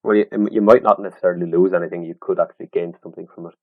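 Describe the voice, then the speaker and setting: A man's voice: low-pitched, steady tone, racing, dry recording. A young Irish man from Wexford, talking in a laid-back way with short replies over a tinny video call line. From Wexford, Ireland. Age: young adult. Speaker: guest, field name collector. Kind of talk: casual conversation. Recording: tinny phone-like call audio.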